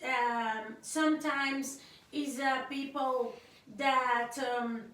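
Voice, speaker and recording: Slow, high-voiced woman in an echoey room. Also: fairly even intonation, a bit bright. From Africa. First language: Creole. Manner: hesitant, searching for words, many fillers and restarts. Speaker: vlogger on her husband's channel. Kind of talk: casual spoken opinion to camera. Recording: very echoey room, voice far from the mic.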